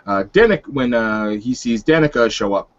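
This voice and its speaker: Halting male game master, dull lo-fi audio. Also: medium pitch, lively intonation. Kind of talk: narrating a tabletop roleplaying session.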